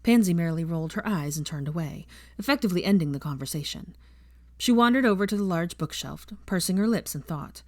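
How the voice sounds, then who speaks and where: A woman's voice: fairly low pitch, animated, fast, no echo, studio quality. A woman reading fiction aloud as an audiobook narrator, delivering scripted story narration.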